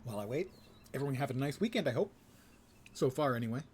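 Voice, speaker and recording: Male livestreamer, medium pitch: casual, muttered, wry play-by-play with noticeable game noise behind him. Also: expressive, fast, darkish tone. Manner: chatty, wry muttering to himself and viewers. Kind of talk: casual live gameplay commentary. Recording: noisy, game sound behind. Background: video game ambience.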